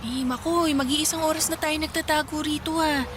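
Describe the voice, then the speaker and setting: A woman's voice: sits high, fairly even intonation, fairly quick, noisy background, lightly acted. A woman playing an impatient, eager young female friend in a Filipino radio drama, chatty and nagging. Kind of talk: Tagalog radio drama acting.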